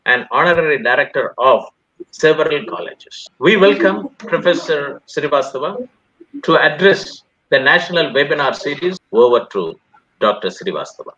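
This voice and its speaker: A man with an Indian accent speaks as a formal webinar host, delivering a scripted address phrase by phrase. His voice sits fairly high and has a sharp, forceful edge. The audio is somewhat dull and lo-fi. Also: slight background noise.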